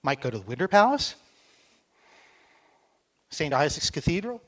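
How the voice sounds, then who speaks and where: A middle-aged American man from Texas, giving a joking, chatty conference talk on stage and speaking slowly for a foreign audience. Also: on the high side, very animated, fairly bright tone. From Texas, United States. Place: conference hall.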